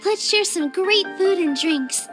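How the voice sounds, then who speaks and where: A woman playing a cheerful, gentle young festival hostess in an anime game, with a sweet, welcoming manner. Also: very high voice, unhurried, music in the background.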